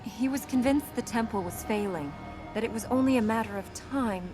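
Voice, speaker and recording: A woman's voice: on the high side, moderate pace, music in the background, natural voice. A woman playing a determined young princess in a video game, serious and guarded with a dry, sarcastic wit. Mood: earnest, resolute. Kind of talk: video game voice acting, conversational banter.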